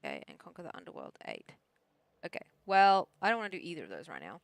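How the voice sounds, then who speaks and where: A woman's voice: sits low, wildly swooping pitch, quick pace, vocal fry, no echo. Female game streamer, native English speaker, casual think-aloud chat, very varied intonation, close dry sound. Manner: thinks aloud, talks herself through choices. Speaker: streamer, therapist answering questions. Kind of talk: casual livestream chat while gaming.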